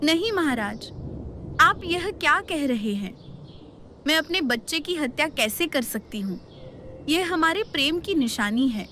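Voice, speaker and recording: A woman's voice: high voice, expressive, unhurried, pushed and bright, slight background noise, full character voice. A woman voicing a betrayed young courtesan in a melodramatic cartoon, anguished and defiant.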